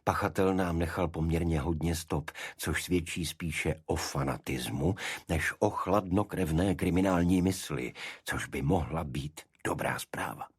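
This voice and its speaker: A man voicing an analytical, confident Victorian detective who makes precise, deliberate deductions in an audiobook. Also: deep voice, breathy edge, dry recording, studio quality. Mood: absorbed, analytical.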